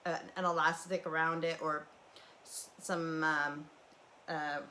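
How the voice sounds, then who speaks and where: Woman, low voice, meandering livestream chat, faint background noise. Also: flat delivery, slow, sharp tone. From Canadian prairies. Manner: chatty and meandering, with many fillers and restarts. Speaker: livestream host. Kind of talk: casual rambling livestream chat.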